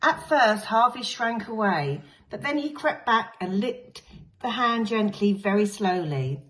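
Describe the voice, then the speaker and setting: Teacher lady reading a kids' story out loud, voice jumps all over, really dramatic. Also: medium pitch, measured pace, rough recording. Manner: expressive storytelling with big swings for drama. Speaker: infant school teacher. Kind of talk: reading a picture book aloud to young children.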